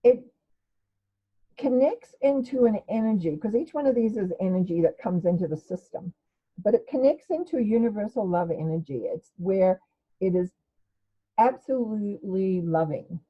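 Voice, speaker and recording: An older woman speaks straight into a webcam in her living room, her voice loud, heartfelt and a touch emotional as she teaches. She often backtracks and restarts her sentences. The audio is slightly dull and lo-fi. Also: mid-range voice, expressive, moderate pace, slightly rough. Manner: loud, warm, emotional, with many restarts and fillers. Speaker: energy healing teacher. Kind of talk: casual spoken lesson to webcam. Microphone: webcam at desk.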